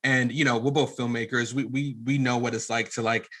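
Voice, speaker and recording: A man's voice: medium pitch, steady tone, brisk, fairly bright tone. A man talking as a podcast guest in a casual storytelling style, earnest and rambling a little. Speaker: podcast guest, filmmaker and former pastor.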